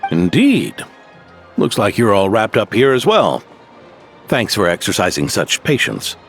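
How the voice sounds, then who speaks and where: A man voicing an aging, stubborn veteran knight near retirement, genial and gruff, in a game cutscene. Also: lowish voice, wildly swooping pitch, unhurried, a bit bright, faint music behind, full character voice. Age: older.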